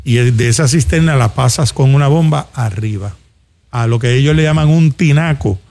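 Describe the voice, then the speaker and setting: A male talk show host with a Puerto Rican accent and a gravelly, creaky voice at a middling pitch. He talks in a colloquial, off-the-cuff way, as if handing practical advice straight to the listeners. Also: moderate pace, a little mellow. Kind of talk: casual conversational commentary. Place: studio.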